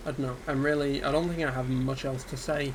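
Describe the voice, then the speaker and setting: Downbeat guy ranting off the cuff, lots of ums, pretty monotone, steady hiss behind him. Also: mid-range voice, brisk, low-quality recording. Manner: subdued, dejected and hesitant, with frequent ums. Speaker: gaming video creator. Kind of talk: unscripted solo rant to camera. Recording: steady background ambience hiss.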